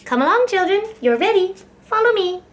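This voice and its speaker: A woman voicing a fussy, protective mother duck in an expressive storybook reading. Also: extremely high pitch, fairly quick, voice pushed up. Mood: confident. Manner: expressive, emphatic storybook delivery. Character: fussy, protective mother duck, polite but easily flustered.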